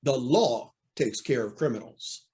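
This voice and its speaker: An actor playing a proud, anxious, cornered judge in an old-time radio drama, speaking in a formal, indignant, theatrical way. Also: male voice, medium pitch, expressive, a little slow, warm tone, close to an everyday voice. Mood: startled, indignant.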